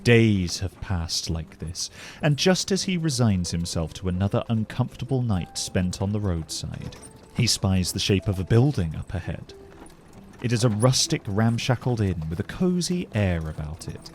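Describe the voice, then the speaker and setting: A man narrating a scripted fantasy story as a measured, descriptive storyteller. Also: fairly low pitch, sing-song, a little mellow, slight background noise.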